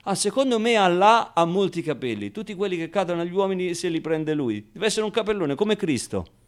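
Radio play lead: streetwise orphan boy played by an adult man, naive yet wistful, conversational halting delivery, raised pitch. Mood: musing. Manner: expressive, halting, conversational storytelling with rhetorical tags. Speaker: solo actor. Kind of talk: theatrical radio monologue.

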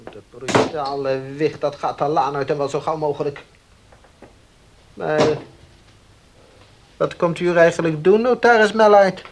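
A man's voice: fairly high pitch, steady tone, a little slow, pauses often. Scheming male tradesman, irritable and curt, radio play sound with slight hiss. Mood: bossy, irritated. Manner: brusque, agitated, repeats himself. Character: irritable, bossy, scheming village baker. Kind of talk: Dutch radio play acting. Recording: faintly hissy old radio play.

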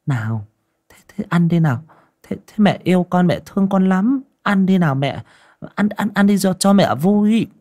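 A man's voice: high-pitched, expressive, moderate pace, darkish tone, pitched above the performer's own voice. A man voicing a doting mother in a story, cajoling a toddler with repeated, coaxing phrases.

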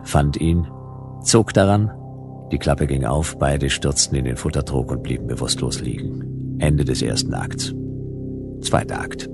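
A noir detective telling his own story in a radio drama. The voice is extremely low, laconic and deadpan, with music running clearly underneath. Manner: dry, deadpan. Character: laconic male hard-boiled detective narrating his case. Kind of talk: noir first-person radio play narration.

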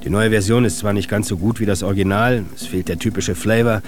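An actor playing a self-justifying music industry manager, in a measured, explanatory radio monologue. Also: male voice, fairly low pitch, fairly even intonation, darkish tone, close to an everyday voice. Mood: formal, self-justifying. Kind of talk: radio feature monologue and letter reading. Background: faint music.

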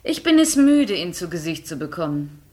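An actress playing a witty, cynical high-society lady in a 1960s radio play, teasing and ironic. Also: big pitch swings, normal speed, a little mellow. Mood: arch, mocking.

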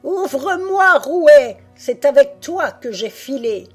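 A woman's voice: sits high, animated, slow pace, soft background music, pitched above the performer's own voice. Screeching, furious old storybook witch. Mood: shrill, impatient. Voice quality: grating, screeching. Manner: commanding, impatient, menacing. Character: furious shrieking old witch, night washerwoman from the marsh.

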